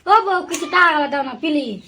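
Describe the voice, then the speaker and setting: A woman's voice: very high voice, steady tone, fast, noisy background. A woman playing an eager, bossy village uncle in a comedy skit, talking in a repetitive pleading and commanding way, with music behind. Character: eager, bossy village uncle chasing online video money.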